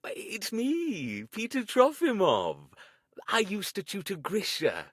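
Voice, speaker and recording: An actor playing a gentle, shabby perpetual student in a visual novel, earnest and self-deprecating. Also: male voice, high voice, huge pitch swings, slow, husky, dry recording, clean studio sound, full character voice. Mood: eager, tentative.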